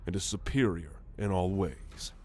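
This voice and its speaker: A man voicing a proud, gruff alien military renegade in an ASMR roleplay, haughty and sardonic in a measured delivery. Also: sits low, darkish tone, a little hiss or hum. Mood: haughty, explaining. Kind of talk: ASMR roleplay monologue to the listener.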